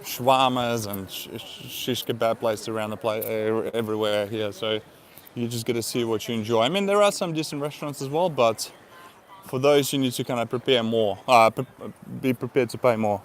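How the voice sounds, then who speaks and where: An easygoing man with an Eastern European accent talks to his live viewers in a loose, rambling way, laughing often and filling his pauses with "uh" and "um." His voice sits at an ordinary middle pitch for a man, with faint outdoor hiss behind it. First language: Russian. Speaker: vlogger. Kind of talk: casual live-stream chat.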